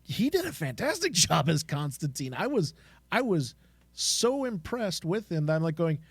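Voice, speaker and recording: A man's voice: on the high side, big pitch swings, moderate pace, breathy edge, dry recording. A man co-hosting a fan podcast, chatting casually in an enthusiastic, agreeable manner. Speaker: podcast co-host.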